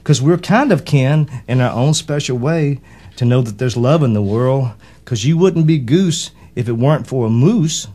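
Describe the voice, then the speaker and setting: A man's voice: medium pitch, expressive, moderate pace, warm tone, close to an everyday voice. A man voicing a friendly, earnest young male duck in a children's storybook, speaking in simple singsong rhyme. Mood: tender, earnest. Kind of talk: rhyming children's storybook character.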